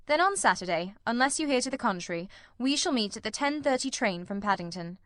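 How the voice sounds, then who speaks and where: A woman voicing a self-assured, authoritative male gentleman detective in an audiobook story. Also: a little high, expressive, brisk, no room sound, studio quality.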